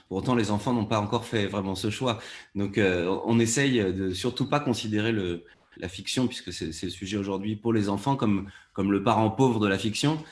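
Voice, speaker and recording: A man's voice: on the low side, fairly quick. A man giving long, structured explanations as a panelist in a conversational discussion. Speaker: panelist, audio fiction producer.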